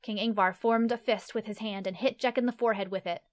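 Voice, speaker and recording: Mid-pitched woman narrating a fantasy story. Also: steady tone, fast.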